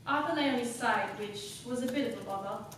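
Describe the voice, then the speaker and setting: A coldly self-possessed, cunning woman played by a young student actress delivers a stage monologue in a highish voice. Her delivery is formal, deliberate and dramatic. It is captured from a distance in a very echoey room with clear background noise. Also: a bit bright, lightly acted. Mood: cool, confiding. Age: young adult. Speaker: student actor. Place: classroom performance in front of class.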